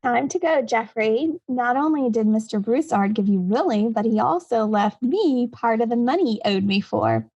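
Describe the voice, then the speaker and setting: A brisk, practical mother, acted by a woman in a radio play. Her voice is a little high and recorded very dry. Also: expressive, a little mellow, dry recording, highs cut off. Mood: matter-of-fact. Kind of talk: audio drama acting.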